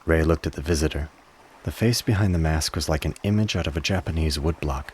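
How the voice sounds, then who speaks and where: A man narrating a scripted drama in a measured storytelling manner. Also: very deep voice, a little mellow, a little hiss or hum.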